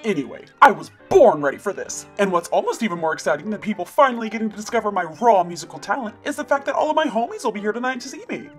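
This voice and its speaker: A woman plays a chill young dude DJ in a doll stop-motion video, full of slang and hype, his voice bouncing up and down in pitch with a lively lilt. faint music hums underneath. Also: quick pace, hardly any pauses, natural voice. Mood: casual. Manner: slangy, hyped bro talk. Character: laid-back young male DJ, slangy party dude.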